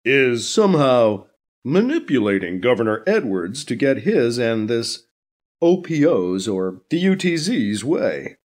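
Man doing a slow, careful news anchor, even and measured, audiobook drama. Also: medium pitch.

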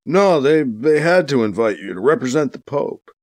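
A man's voice: medium pitch, sing-song, moderate pace, darkish tone, no room sound, natural voice. A man voicing a dejected, distracted male character in a story, hesitant and trailing off. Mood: humorless, distracted.

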